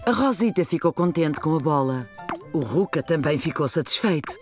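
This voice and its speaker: A woman narrating a children's cartoon as a gentle storyteller, with a European Portuguese accent. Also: low-pitched, expressive, moderate pace, faint music behind. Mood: gentle storytelling.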